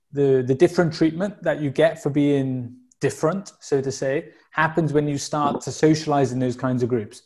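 A man's voice: on the high side, a little mellow. A man in his thirties from South Wales with a Welsh accent, a guest talking openly and reflectively in a casual interview, with frequent fillers and restarts. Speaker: podcast guest.

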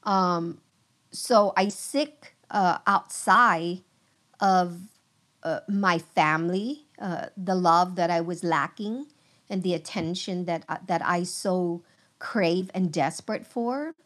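A middle-aged woman from Vietnam, a guest on a podcast, sharing her testimony in slow, halting, earnest speech full of pauses. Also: fairly low pitch, a bit bright.

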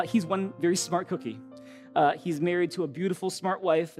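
A male pastor speaking in a friendly, conversational way as he introduces a guest during a church service. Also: fairly high pitch.

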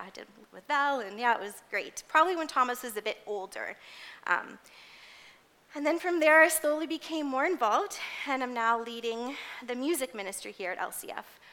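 A woman's voice: a little high, bright, forceful tone. A woman in her forties giving a personal, informal sermon as a church music leader, speaking in an earnest, conversational and somewhat hesitant way. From grew up in Indonesia as a missionary kid, later moved to Canada. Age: 40s.

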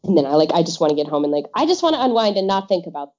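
Woman, casual chatter, frequent laughs, trails off, muffled low-fi audio. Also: big pitch swings, racing, a little mellow. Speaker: guest; English teacher. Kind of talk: casual podcast chat. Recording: dull lo-fi audio.

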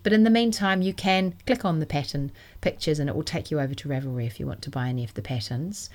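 A woman from New hosting a knitting podcast, chatting casually and enthusiastically, her voice still a little croaky from a cold. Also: deep voice, fast talker, a little mellow. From New Zealand. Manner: chatty and enthusiastic, self-deprecating. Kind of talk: casual solo podcast chat.

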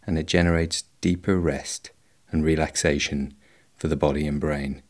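A man guiding a meditation in a slow, measured, instructional way, leaving long pauses between phrases. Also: very deep voice, a little mellow. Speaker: meditation guide. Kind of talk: guided body scan meditation.

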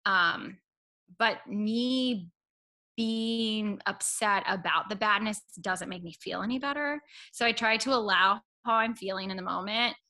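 A woman's voice: mid-range voice, a little slow, bright, forceful tone. A woman in her thirties, a guest on an interview show, talking in a chatty, self-deprecating way and often laughing at herself. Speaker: podcast guest. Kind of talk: casual conversational interview.

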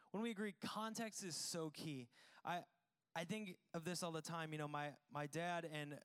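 A man's voice: fairly high pitch, a bit bright, smooth. A man preaching a conversational sermon to a congregation, casual and joking, poking fun at himself. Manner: casual, joking, self-deprecating. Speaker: pastor. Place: church service with congregation.

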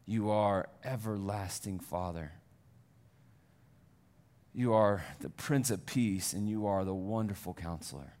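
A pastor preaching a Sunday sermon in a church, conversational and self-deprecating, building to earnest fervor. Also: male voice, lowish voice, measured pace, long pauses, darkish tone.

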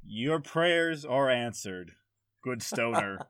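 A man of medium pitch hosts a casual podcast chat at a slow, unhurried pace. His voice sounds close and dry, with no room around it. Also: animated, fairly bright tone, clear, resonant tone, dry recording. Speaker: podcast host. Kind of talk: casual conversational hosting.

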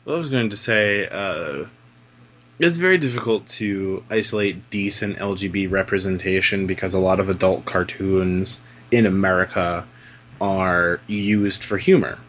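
A man with a fairly low voice talks on a casual podcast, rambling with hesitations and swearing offhandedly. The recording is dull and lo-fi. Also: moderate pace, a bit bright.

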